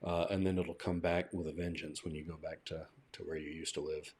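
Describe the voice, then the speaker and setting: Very deep-voiced American man. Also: little pitch movement, brisk, darkish tone. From southern United States. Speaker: language teacher hosting a livestream. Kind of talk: casual live-stream discussion.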